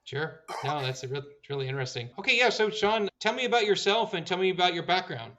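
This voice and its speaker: Man, podcast host, somewhat high bright voice, upbeat and encouraging, muffled audio. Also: animated. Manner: upbeat, encouraging, quick reactions. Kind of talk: interview hosting.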